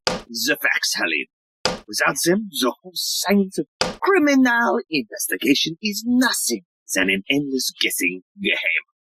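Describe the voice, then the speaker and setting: A self-important detective inspector acted by a woman holds forth in a low voice with huge swings of pitch. The delivery is theatrical and lecturing, full of dramatic pauses, in a close, dry audio play recording. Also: huge pitch swings, fairly bright tone, breathy edge, no echo, exaggerated voice.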